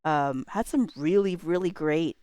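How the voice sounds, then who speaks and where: A woman's voice: deep voice, measured pace, dark tone, dry recording. A woman, perhaps in her thirties, chatting casually on a livestream in a rambling, self-deprecating way with informal slang.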